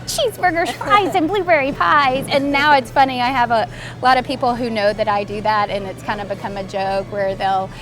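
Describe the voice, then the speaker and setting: A woman voice actress chatting in an interview, upbeat and quick to laugh. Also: a little high, steady tone. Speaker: voice actress guest. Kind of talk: casual interview chat.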